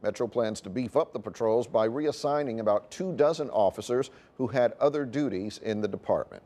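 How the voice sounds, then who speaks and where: A man's voice: medium pitch, brisk, mellow. A man with an American accent reading scripted television news as an anchor.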